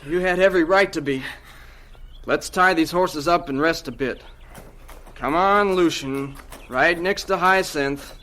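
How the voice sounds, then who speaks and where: Protective, kindly young farmhand. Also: male voice, fairly high pitch, measured pace, pushed and bright. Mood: gentle, concerned. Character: protective, kindly young farm man, big-brotherly. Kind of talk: radio drama acting.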